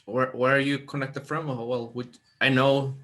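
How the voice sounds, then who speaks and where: A man's voice: mid-range voice, level tone, normal speed, a bit bright. Male teacher running a class video call, hesitant delivery with frequent fillers.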